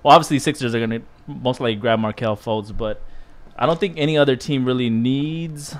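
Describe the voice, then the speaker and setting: A man co-hosting a casual talk show, laid-back and measured. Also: mid-range voice. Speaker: co-host. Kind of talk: casual chat.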